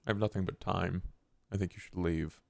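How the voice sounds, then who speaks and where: A man playing a grim, daunting vampire in a tabletop roleplay, with gravelly, terse, formal delivery. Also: low-pitched, fairly even intonation, a little fast, darkish tone, no echo. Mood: brooding, stern.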